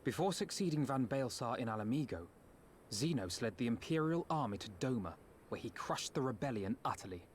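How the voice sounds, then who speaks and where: An actor playing a measured, erudite male strategist in a video game, formal, precise and composed. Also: medium pitch, animated, close to an everyday voice. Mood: grave. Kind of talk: dramatic video game voice acting. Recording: faint background noise.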